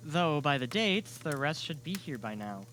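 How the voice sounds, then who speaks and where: A man's voice: a little high, sing-song, moderate pace, fairly bright tone, slight background noise. A man playing a determined, headstrong young man in an amateur audio drama, earnest and insistent. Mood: speculative.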